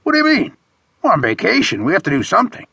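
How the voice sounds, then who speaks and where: Man putting on a loud, high-pitched, whining pushy buddy. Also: huge pitch swings, fast, gravelly, slightly rough, dry recording, exaggerated voice. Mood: pushy, incredulous. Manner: exclamatory, whining, profane. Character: loud, bored, pushy friend, crass and childish man. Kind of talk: acted audiobook dialogue.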